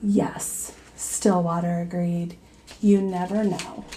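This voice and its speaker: A woman reading a children's storybook aloud in a deliberate teacherly way, pausing to put questions to young listeners. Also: lowish voice, flat delivery, warm tone, a little hiss or hum, low-quality recording.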